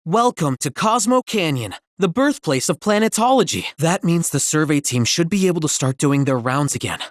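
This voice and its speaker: A man's voice: a little high, lively intonation, normal speed, no room sound, studio quality, lightly acted. A man playing a friendly, earnest town local in a video game. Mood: welcoming. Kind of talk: video game character acting.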